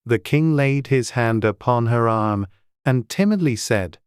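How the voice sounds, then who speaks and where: A man's voice: medium pitch, unhurried, smooth, no echo, studio quality. A man reading scripted storybook narration as an audiobook narrator. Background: faint music.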